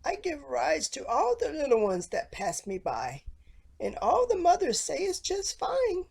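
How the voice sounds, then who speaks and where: A man voicing a sly, hungry storybook snake that coaxes with drawn-out words. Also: extremely high pitch, wildly swooping pitch, creaky, a little mellow, pitched above the performer's own voice, exaggerated voice. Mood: coaxing.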